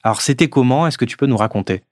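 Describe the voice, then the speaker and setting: A man's voice: medium pitch, quick pace, no room sound. A male interviewer with a mid-range voice lays out context and puts questions to guests. His phrasing is structured and prepared. The recording is dry and close. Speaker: podcast interviewer.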